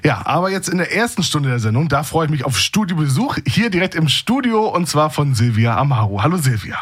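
A man's voice: on the high side, very animated, fairly quick, a bit bright, faint music behind. A man hosting a casual studio interview in an informal, conversational way. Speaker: radio host. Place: radio studio. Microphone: studio microphone.

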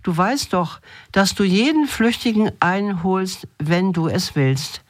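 A woman's voice: medium pitch, expressive, takes it slowly. Woman reading as a kindly little old woman. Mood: gentle, curious. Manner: gentle, understanding. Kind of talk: fairy tale read aloud.

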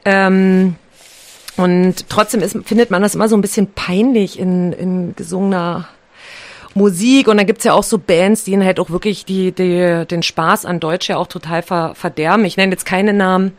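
A woman's voice: on the low side, steady tone, moderate pace. A young German woman chatting casually as a co-host, self-deprecating and full of fillers. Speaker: co-host, songwriter in a band. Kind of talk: casual radio chat.

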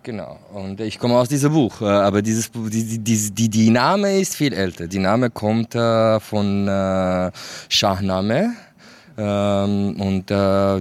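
A man's voice: on the low side, dry recording. A man, talking as a guest in a casual, hesitant way with many fillers and laughs. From Kurdish region of Iran. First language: Kurdish. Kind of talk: casual interview talk.

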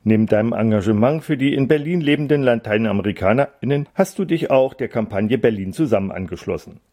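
Mellow-voiced radio interviewer, man. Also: mid-range voice, expressive. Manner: measured, read-aloud delivery. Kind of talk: scripted radio intro and interview questions.

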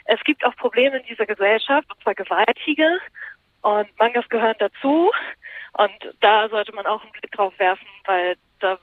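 A woman's voice: mid-range voice, normal speed, no echo. A woman from Japan and Germany giving reflective, explanatory answers as a journalist guest in a conversational interview over the phone. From Japan until age seven, then Germany. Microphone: telephone or call connection. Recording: tinny narrow phone line.

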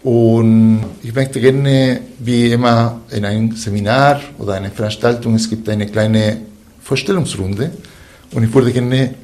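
A man hosting a radio talk show from a studio, introducing guests in a slow, halting, formal way. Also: mid-range voice, steady tone, a little mellow.

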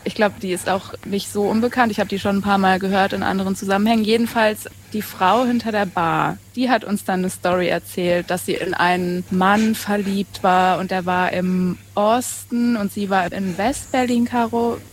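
A woman in her twenties from western Germany recounts her story in a chatty, engaged way during a casual podcast interview. Her pitch is ordinary for a woman, and a faint hiss runs under the recording. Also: steady tone, normal speed, hardly any pauses. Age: 20s. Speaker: podcast co-host.

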